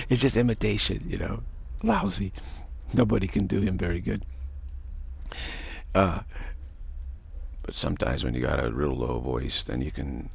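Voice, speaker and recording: Man, deep low voice, husky, rambling spiritual talk, repeated words, frequent chuckles. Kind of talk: spiritual monologue.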